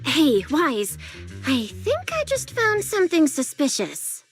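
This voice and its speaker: A woman playing an inquisitive, pushy young sidekick detective in a video game, expressive and probing. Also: high-pitched, takes it slowly, faint music behind, exaggerated voice. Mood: suspicious, probing.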